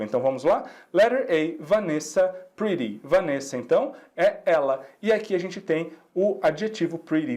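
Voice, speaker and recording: A Brazilian man with Portuguese as his first language, teaching an English lesson and patiently repeating each phrase slowly so students can drill it. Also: a little high, a little mellow. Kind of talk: classroom lesson explaining exercises.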